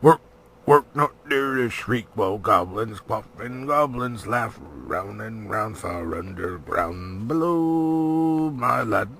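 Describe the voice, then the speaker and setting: A man's voice: fairly low pitch, expressive, slow pace, gravelly, fairly bright tone, a little hiss or hum, full character voice. A man voicing cruel, taunting storybook goblins, chanting rhythmically in short punchy bursts. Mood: menacing, gleeful.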